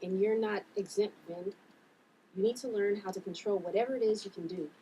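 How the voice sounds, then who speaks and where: A woman's voice: mid-range voice, brisk, warm tone, natural voice, low-quality recording. A woman playing a blunt, sensible sister with superpowers in a table read. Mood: blunt, firm. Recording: room recording with background noise.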